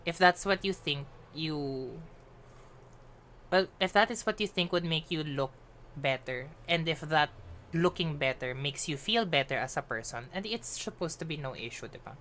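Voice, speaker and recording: Filipino woman streamer, casual rambling chat, lowish bright voice, faint hiss on the mic. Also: moderate pace. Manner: chatty, ranting, mixing English with Tagalog. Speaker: livestreamer.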